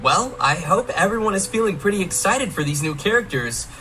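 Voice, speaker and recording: A woman's voice: mid-range voice, animated, brisk, pushed and bright, low-quality recording. A woman co-presenting a game showcase in a conversational promotional style. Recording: music behind, played within a reaction video. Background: clear music.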